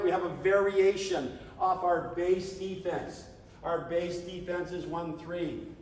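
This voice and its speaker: A man leading a coaching clinic, talking in an animated, conversational way and now and then shouting coaching calls. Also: high voice, slight background noise. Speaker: flag football coach. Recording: echoey hall, distant mic.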